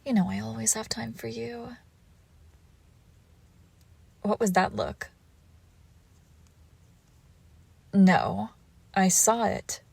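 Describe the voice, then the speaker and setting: A woman playing a suave, controlled villainous ex-lover in an intimate audio roleplay, with measured formal phrasing and soft breathy pauses. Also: medium pitch, steady tone, dry recording, exaggerated voice. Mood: tender, regretful. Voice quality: creaky, often dropping into whisper. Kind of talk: scripted romantic audio roleplay, intimate and slow.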